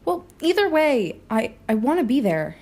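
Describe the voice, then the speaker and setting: A woman's voice: high-pitched, sing-song, normal speed, darkish tone, heavily acted. Feisty young woman reporter acted out by a woman. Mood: stubborn. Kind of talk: audio drama acting.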